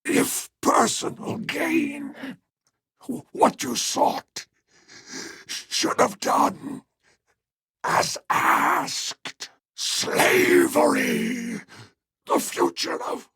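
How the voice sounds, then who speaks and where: An actor playing a frail, dying old man in a video game, bitter and reproachful, speaking in strained, halting gasps. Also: very high-pitched, very animated, vocal fry, sharp tone, no room sound, studio quality, heavily acted. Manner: gasping, halting, broken delivery. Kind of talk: dramatic video game death scene acting.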